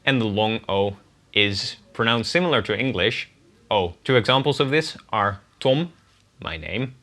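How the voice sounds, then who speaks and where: Bright-voiced Dutch man teaching vowels. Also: lowish voice, expressive, unhurried. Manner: clear, methodical explaining with spelled-out examples. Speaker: guest language teacher. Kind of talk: scripted pronunciation lesson.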